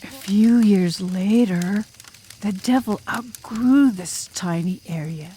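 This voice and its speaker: A woman voicing an enigmatic drum major student telling a demon horror tale in an audiobook, with ominous, drawn-out, dramatic delivery. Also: medium pitch, mellow, a little hiss or hum, natural voice. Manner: ominous, drawn-out, dramatic, sometimes dropping to a whisper. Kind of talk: audiobook ghost story narration in character.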